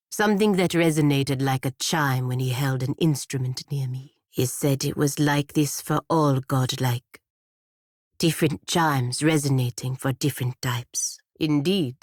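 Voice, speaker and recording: Low-pitched, proud, stern warrior woman. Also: a little mellow, dry recording, studio quality. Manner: formal, measured, clipped declarative phrasing. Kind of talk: scripted fantasy video game dialogue.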